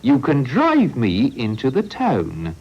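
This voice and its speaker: A man's voice: medium pitch, huge pitch swings, slow pace, very noisy, voice pushed up, full character voice. A man voicing a kindly, shrewd older farmer with a hearty, fatherly manner, on a lo-fi storybook recording. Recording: lo-fi old recording.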